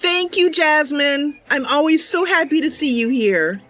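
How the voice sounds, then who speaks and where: A woman's voice: high voice, expressive, a little slow, fairly bright tone. A woman hosting a roleplay game livestream, chatty and welcoming, switching between casual commentary and narration. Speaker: streamer, roleplay game host.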